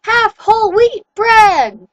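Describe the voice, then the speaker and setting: A woman's voice: very high-pitched, pitched above the performer's own voice. Woman putting on a shrieking werewolf king. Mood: ranting. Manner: ranting, yelling, slow emphatic.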